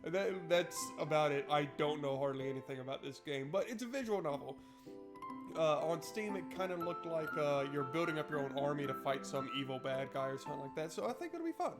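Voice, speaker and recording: A man's voice: fairly high pitch, expressive, brisk. A man hosting a let's play, chatting informally and playfully and reading game text aloud. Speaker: gaming video host. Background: game music.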